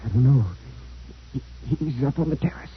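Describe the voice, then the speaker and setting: An actor playing an uneasy, secretive stepson with a husky voice and halting delivery in an old-time radio drama. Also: medium pitch, slight background noise, natural voice. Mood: frightened. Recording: muffled old radio recording.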